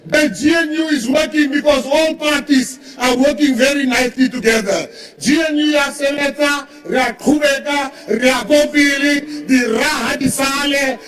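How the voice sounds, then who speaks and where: High-pitched man, emphatic speech. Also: normal speed, a bit bright. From South Africa. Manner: emphatic, mocking, rallying. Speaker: cabinet minister. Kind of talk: parliamentary speech. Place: large parliament chamber. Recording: echoey hall from far off.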